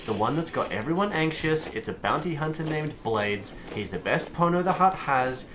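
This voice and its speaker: A man acting a cavalier, wry smuggler pilot in an audio drama, flippant and expressive in his delivery. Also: a little high, normal speed, background noise, natural voice.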